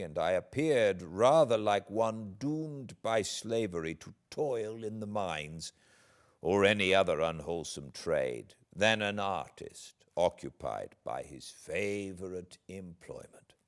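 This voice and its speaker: A British man, an audiobook narrator, reading classic literature aloud in a measured, expressive, dramatic way. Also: low voice. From Britain.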